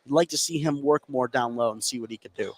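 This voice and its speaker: A man's voice: medium pitch, quick pace. An American man giving live basketball analysis as a radio color commentator. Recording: noisy gym broadcast.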